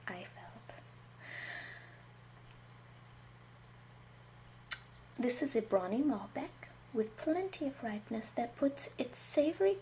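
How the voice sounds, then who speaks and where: A woman playing a genteel, confiding love-potion witch in an ASMR roleplay, speaking gently in a breathy half-whisper. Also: fairly high pitch, slight background noise, low-quality recording. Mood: soft, measured. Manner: slow, gentle, unhurried, confiding. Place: small home room, indoors.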